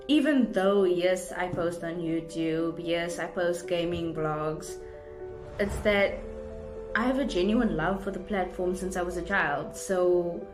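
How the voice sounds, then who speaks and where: South African woman vlogging in an echoey room. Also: fairly low pitch. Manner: effusive, gushing. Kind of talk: casual, rambling chat to viewers. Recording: roomy indoor echo, music underneath.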